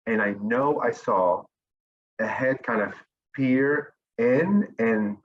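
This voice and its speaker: A man's voice: mid-range voice, takes it slowly, muffled recording. A man from Lebanon, a guest telling personal stories in a loose, rambling way full of fillers and restarts. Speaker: interview guest. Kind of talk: casual storytelling.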